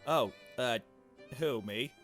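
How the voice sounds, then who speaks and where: A man plays a shy young woman from a visual novel game. The delivery is nervous, awkward and halting, over background music. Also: mid-range voice, a bit bright. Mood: flustered. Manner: hesitant, halting.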